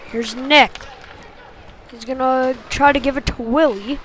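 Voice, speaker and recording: A woman's voice: high-pitched, steady tone, a little slow, fairly bright tone, a little hiss or hum. A woman giving informal amateur play-by-play of a youth hockey game in a partisan, homespun way. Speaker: play-by-play commentator.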